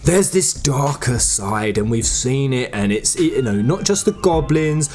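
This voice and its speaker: A man hosting a gaming fan-theory video, talking casually in an informal, slangy, excitable way that builds suspense. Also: mid-range voice, measured pace. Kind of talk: casual fan-theory commentary over game footage. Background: background music.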